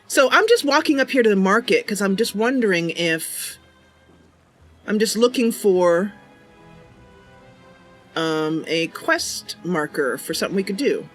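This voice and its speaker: A woman's voice: mid-range voice, lively intonation, measured pace, pauses often, soft background music. A woman hosting a roleplay game livestream, chatty and welcoming, switching between casual commentary and narration. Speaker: streamer, roleplay game host.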